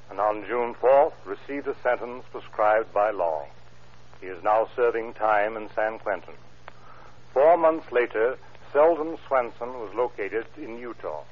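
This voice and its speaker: A man giving formal, solemn scripted narration in 1930s crime-drama style, with a husky voice. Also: mid-range voice. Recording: narrow, tinny 1930s radio recording.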